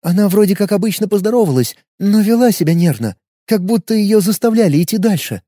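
A nervous young woman, flustered and hurried, her voice lifted high and heavy with dark, mellow warmth as a man reads her part. She tumbles through her words in a close, dry telling. It is a storybook voice for an anxious helper. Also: animated, dry recording. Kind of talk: audiobook character voice.

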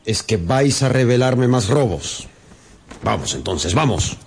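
An extremely husky, rough voice, a stern magistrate in a radio play. He is brusque, suspicious and animated in pitch. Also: measured pace, heavily acted. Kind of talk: radio drama acting.

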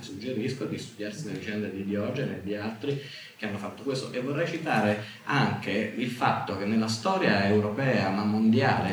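A man speaking at a conference in a spontaneous academic debate, arguing his point with hesitations and false starts. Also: fairly low pitch. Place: reverberant conference room. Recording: very echoey room, faint noise.

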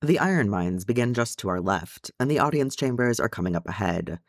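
A man's voice: lowish voice, expressive, a little fast, dry recording, studio quality. A man voicing an easygoing young dwarf companion in an audiobook story, explaining things in a familiar way.

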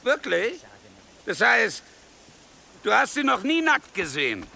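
Menacing, gravelly high-voiced commander. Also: male voice, huge pitch swings, slow pace, sharp tone, heavily acted. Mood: mocking. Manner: taunting, imperious, theatrical. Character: arrogant, menacing male commander demanding obedience. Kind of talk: German radio drama acting.

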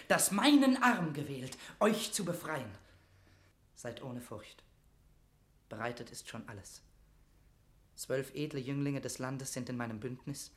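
A male actor as a young nobleman burning with religious zeal and devotion to his queen. His mid-pitched voice declaims stage verse solemnly and passionately. The room around it echoes clearly, as in a radio play. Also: close to an everyday voice. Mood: urgent, conspiratorial. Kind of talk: classic German verse drama, declaimed radio acting.

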